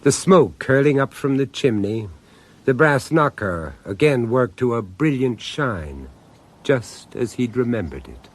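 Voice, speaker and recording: Urbane horror storyteller, radio drama narration, animated intonation, deliberate phrasing, theatrical pauses. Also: male voice, mid-range voice, a little hiss or hum. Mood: ominous, savouring. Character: urbane, macabre storyteller, savouring each detail.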